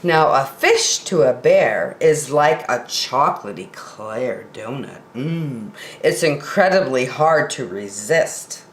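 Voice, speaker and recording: A woman's voice: extremely low pitch, expressive, slow pace, hardly any pauses. A middle-aged woman reading picture books aloud expressively for young children, in a roomy, echoing home recording.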